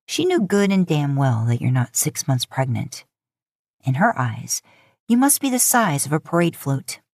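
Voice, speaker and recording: A woman's voice: low voice, big pitch swings, a little slow, a little mellow, no echo, clean studio sound. A woman reading scripted fiction as an audiobook narrator.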